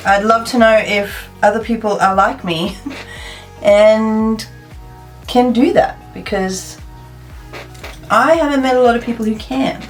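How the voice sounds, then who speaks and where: A woman in her thirties from South Africa, chatting and thinking aloud as she does her makeup in a get-ready-with-me video. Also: mid-range voice. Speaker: beauty vlogger. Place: plain indoor room.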